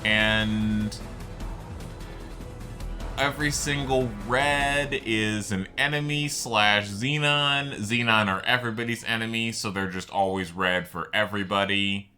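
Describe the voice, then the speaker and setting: Man, low-pitched, bright forceful tone, rambling explanatory game commentary, music behind. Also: measured pace. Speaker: gameplay video host.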